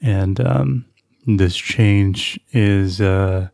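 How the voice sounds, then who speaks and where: A man hosting a podcast conversation, putting questions to guests in a measured, courteous way. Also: lowish voice, creaky, a little mellow, no room sound, clean studio sound. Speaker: podcast host, interviewer. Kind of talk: moderated conversation.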